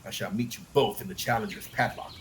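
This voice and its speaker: A man's voice: fairly low pitch, quick pace, slight background noise, lightly acted. A man voicing a pompous young knight in an audiobook, boastful and grandly confident. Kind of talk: audiobook character acting.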